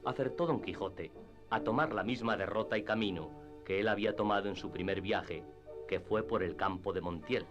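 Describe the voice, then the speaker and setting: A man narrating a literary radio drama in a measured storytelling manner. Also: low-pitched, lightly acted. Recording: slightly dull radio drama with music.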